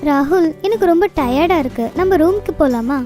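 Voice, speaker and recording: A woman's voice: very high voice, lively intonation, brisk, warm tone. A woman voicing a chatty young wife in a cartoon who tells her husband a ghost story. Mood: pleading. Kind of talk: Tamil cartoon dub. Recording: music bed behind.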